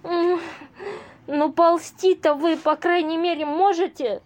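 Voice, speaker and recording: A woman plays a kind-hearted single woman at her wits' end in an audio drama. Her voice is high and her delivery unhurried. She sounds earnest, fretful and easily exasperated. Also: steady tone. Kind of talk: amateur audio play acting.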